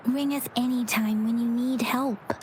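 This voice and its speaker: Woman as a calm, unhurried, high-voiced young companion. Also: slight background noise, close to an everyday voice. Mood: quietly concerned. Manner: measured, analytical. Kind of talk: video game voice acting.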